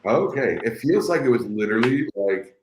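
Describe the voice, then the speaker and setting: A man's voice: mid-range voice, lively intonation, normal speed, a little mellow, reverberant, a little hiss or hum, low-quality recording. A man hosting a live interview, enthusiastic and rambling, often restarting his sentences. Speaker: host.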